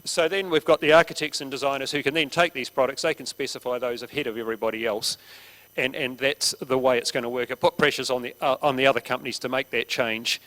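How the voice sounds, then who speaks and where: A man's voice: mid-range voice, steady tone, quick pace, fairly bright tone, low-quality recording. A man giving a plain-spoken, practical talk at a seminar, often restarting his phrases. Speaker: seminar speaker.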